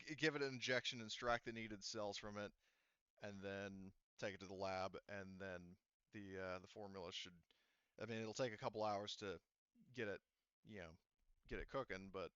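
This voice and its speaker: A man with a low, smooth and bright voice runs a tabletop game. He explains things haltingly and circles back to clarify, on a dry but slightly dull microphone. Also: fairly even intonation, no echo. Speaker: game master. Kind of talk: improvised tabletop game narration.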